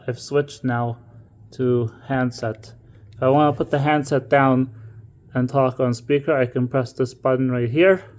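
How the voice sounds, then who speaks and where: A man instructing in an informal, step-by-step way, as in a casual hands-on tutorial. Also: mid-range voice. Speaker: instructor demonstrating equipment.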